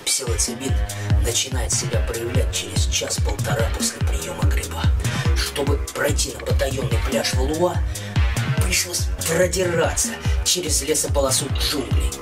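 A man's voice: medium pitch, normal speed. Male narrator, middle-aged, theatrical and emphatic, slightly off-mic over a music bed. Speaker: author narrating own story. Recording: music behind a slightly distant voice.

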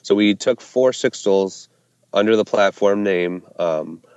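A man's voice: fairly low pitch, unhurried, a little mellow, clear, resonant tone, no room sound, dull audio. A man, co-founder of a small brewery, answering interview questions casually with many fillers and restarts. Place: Ohio hometown brewery.

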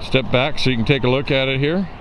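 A man giving a casual walkaround of a car, calmly listing its features with a few fillers, recorded outdoors on a handheld camera. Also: mid-range voice, fast, noisy background. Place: outdoors in a park parking lot.

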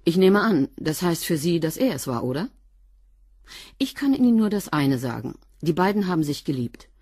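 A woman's voice: on the low side, big pitch swings, moderate pace, darkish tone, husky, no room sound. An actress playing a blunt, guarded cleaning woman in a radio drama, answering in a dry, slightly defiant way. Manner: dry, curt, a bit defiant.

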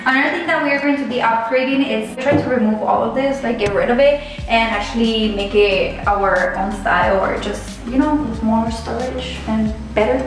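A woman's voice: fairly high pitch, steady tone, moderate pace, few pauses, over music. A young woman vlogger giving a bubbly, playful tour of an empty house, talking casually to the camera. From Arizona, US. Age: young adult. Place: large empty house with bare walls. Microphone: camera mic from a few feet away. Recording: echoey empty rooms, mic at a distance.

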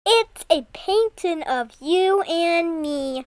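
A child playing an excitable young boy in a dramatic storybook reading. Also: very high-pitched, takes it slowly, no echo, full character voice. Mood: excited, wondering. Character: Buzz, excitable young boy with pet fly.

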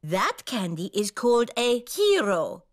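A woman's voice: on the high side, wildly swooping pitch, a little slow. A woman voicing a kindly gazelle playgroup teacher in a preschool cartoon, explaining things in an encouraging way to small children. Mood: kindly, instructive.

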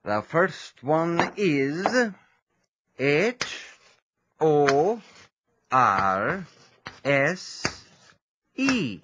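An actor playing a stern but kindly nineteenth-century schoolmaster in an audio drama, formal and deliberate. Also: male voice, a little high, very animated, fairly bright tone, a little hiss or hum, heavily acted. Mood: instructive.

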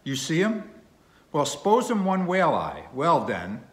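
A man voicing a proud foreign harpooner who speaks loud broken English in a sea story audiobook. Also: on the high side, wildly swooping pitch, unhurried, echoey room, pitched above the performer's own voice, full character voice. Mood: boastful, loud.

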